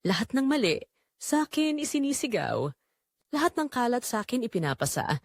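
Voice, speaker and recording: A woman's voice: on the high side, big pitch swings, normal speed, dry recording. A woman with a Filipino accent playing a weary, anguished migrant-worker mother, telling her story in an emotive, confiding audio drama narration. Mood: weary, pained.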